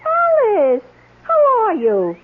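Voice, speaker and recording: High-pitched, chirpy schoolteacher. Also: female voice, huge pitch swings, takes it slowly, full character voice. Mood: friendly. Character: chirpy unmarried schoolteacher woman, fond of her canary. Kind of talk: old-time radio drama acting. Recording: muffled, noisy old radio broadcast recording.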